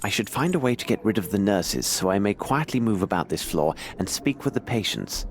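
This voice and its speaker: A man playing a composed gentlemanly detective in a video game, formal, measured and courteous. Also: lowish voice, lively intonation, slight background noise. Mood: gentle, coaxing. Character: composed gentlemanly detective disguised as a male nurse.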